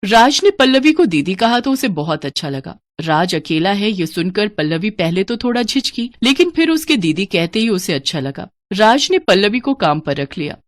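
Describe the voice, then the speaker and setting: A woman's voice: medium pitch, big pitch swings, normal speed, hardly any pauses. A woman narrating a scripted moral story as a storyteller.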